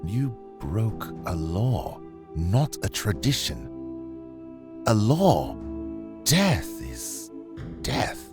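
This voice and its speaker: A man's voice: on the low side, huge pitch swings, very slow, pauses often, music in the background, exaggerated voice. A man playing a stern, imposing god in a fantasy audio drama, with a theatrical, weighty delivery. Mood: grave, accusing. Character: stern, imposing male god passing judgment.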